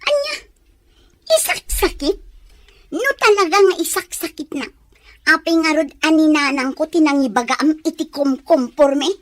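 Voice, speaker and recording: High girlish voice, stubborn young woman telling someone off, radio drama, really dry studio sound. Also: expressive, moderate pace, no room sound. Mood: angry, accusing. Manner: scolding, defiant. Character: headstrong, resentful young woman, scolding her friend. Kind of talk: radio serial drama acting.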